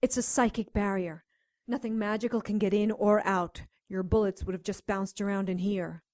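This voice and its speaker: A woman playing a sharp-tongued spellcaster sidekick in an audio drama, wry and practical. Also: medium pitch, steady tone, normal speed, no echo, clean studio sound, close to an everyday voice. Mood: matter-of-fact.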